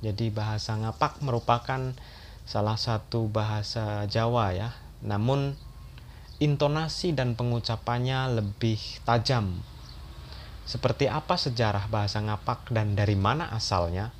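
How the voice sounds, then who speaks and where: A man narrating an educational history video as a voice-over, in a calm and steady explaining manner. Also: on the low side, unhurried, a little hiss or hum. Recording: voice-over with loud background music.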